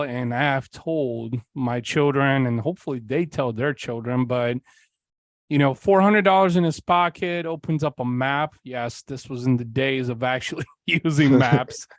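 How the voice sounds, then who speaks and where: Smooth-voiced man from Bulgaria. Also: medium pitch, no echo. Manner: candid, rambling, with frequent fillers and occasional swearing. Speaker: podcast guest. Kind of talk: casual interview storytelling.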